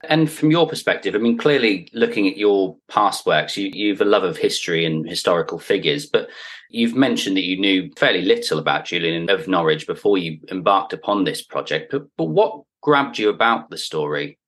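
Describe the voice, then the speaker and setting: A man's voice: sits low, lively intonation, normal speed, a bit bright. A man hosting a podcast, speaking in a conversational interview style.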